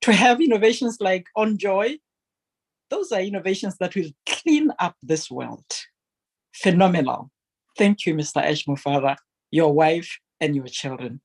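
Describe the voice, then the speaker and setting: A woman's voice: on the low side, animated, a little slow, a little mellow. A woman giving a heartfelt testimonial to an online meeting, speaking in an earnest, emphatic and fervent way.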